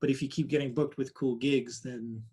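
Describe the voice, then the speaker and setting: A man with a Dutch accent answering interview questions thoughtfully over a video call, often trailing off quieter. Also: mid-range voice, fairly even intonation, moderate pace, slightly rough. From Netherlands. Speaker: guest artist.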